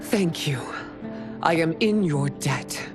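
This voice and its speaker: A woman's voice: sits low, animated, slow pace. An actress playing a proud, stern empress and protective mother in a video game cutscene, with formal, imperious speech. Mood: grateful. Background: music.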